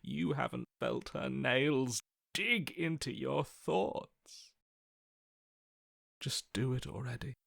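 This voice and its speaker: A broken man's inner voice from a dramatic video game. He is servile and worshipful, pleading in a hushed, breathy, defeated way. The sound is dry and close. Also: mid-range voice, takes it slowly, pauses often, no echo, full character voice. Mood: resigned despair.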